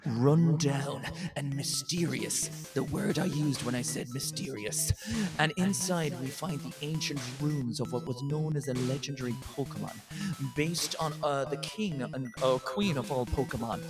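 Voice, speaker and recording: An excitable, foul-mouthed man talks through a casual role-play game session as a podcast host. His voice sits at a middling pitch, with music clearly playing behind it. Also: moderate pace. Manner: sweary, excitable. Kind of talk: casual tabletop-style game playthrough chat.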